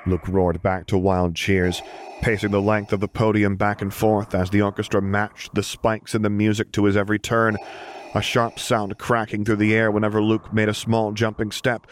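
Man, low voice, level intonation, scripted story narration, audiobook reader, noisy recording with clicks.

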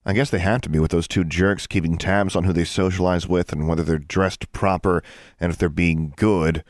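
A man's voice: low voice, fairly even intonation, normal speed, no echo, clean studio sound, lightly acted. A man voicing a defiant, blunt American schoolgirl in an audiobook. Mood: disgusted.

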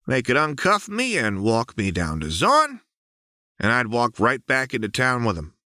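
A manic, mischievous young woman in chains taunts in a sly, breezy drawl, as a man puts her on. The voice swoops lively and sounds bright and edgy, recorded close and dry. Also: mid-range voice, measured pace, no echo, voice pushed up, heavily acted. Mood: taunting.

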